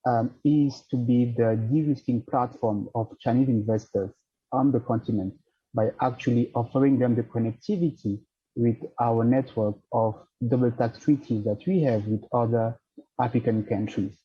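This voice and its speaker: A man with a French-accented English voice, answering a panel question in a measured, formal way while searching for words. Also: medium pitch, breathy edge, dull audio. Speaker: financial centre representative on a panel.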